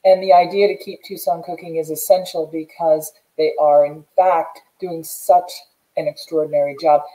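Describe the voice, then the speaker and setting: Very mellow, low-voiced American woman host. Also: fairly even intonation, moderate pace, low-quality recording. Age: middle-aged. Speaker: show host. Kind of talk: interview hosting. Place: indoors, plain room. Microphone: video call.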